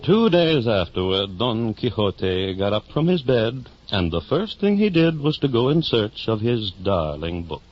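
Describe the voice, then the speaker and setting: A man's voice: on the low side, sing-song, measured pace, hardly any pauses. A man reading scripted narration for a 1950s radio drama in a dramatic storytelling manner. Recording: muffled old radio recording. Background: music.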